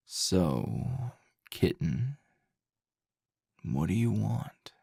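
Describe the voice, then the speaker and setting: A man playing a grumpy middle-aged teacher in an intimate ASMR roleplay, a teasing, possessive lover with a low, gravelly, husky murmur and a slow drawl. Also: very animated, dark tone, no room sound, clean studio sound, heavily acted. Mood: coy, seductive.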